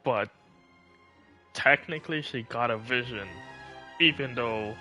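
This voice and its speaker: A man's voice: medium pitch, slow pace, over music. A man chatting casually as a game streamer, musing and rephrasing as he goes. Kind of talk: casual live stream chat.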